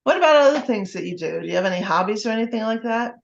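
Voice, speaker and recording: Woman interviewer, brief questions, higher voice, quick, muffled and far off. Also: expressive, creaky, a little hiss or hum.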